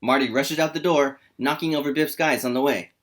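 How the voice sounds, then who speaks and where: A man's voice: mid-range voice, a little fast, a bit bright. A man hosting a comedy table read and narrating the screenplay's scene directions. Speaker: host and narrator.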